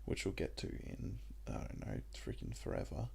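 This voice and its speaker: A young Australian man with a croaky voice, chatting casually and rambling with lots of ums while he plays a puzzle game. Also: extremely low pitch, moderate pace. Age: young adult. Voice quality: croaky, gravelly voice. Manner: rambling and relaxed, with many ums. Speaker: gaming YouTuber. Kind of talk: casual let's-play commentary.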